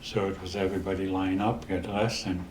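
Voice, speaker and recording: A man in his eighties with a Dutch accent, telling stories in a casual interview, halting with many restarts and pauses. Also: sits low, flat delivery, roomy sound, slight background noise. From the Netherlands. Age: 80s. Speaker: interview guest.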